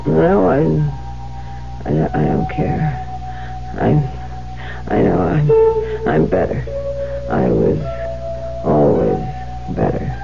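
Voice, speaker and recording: Haunted, creaky-voiced widow with swooping pitch. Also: female voice, huge pitch swings, music in the background, exaggerated voice. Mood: feverish, dreaming. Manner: halting, breaking off, murmuring. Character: haunted, guilt-ridden widow, anxious and evasive. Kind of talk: 1970s radio drama acting. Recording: dull lo-fi 1970s radio broadcast.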